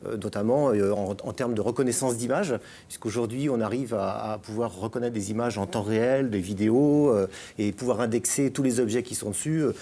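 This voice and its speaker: A man's voice: mid-range voice, brisk, dark tone. A middle-aged man from France, talking as a guest columnist in a radio chat, hesitant with many fillers and restarts. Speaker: guest columnist on digital topics.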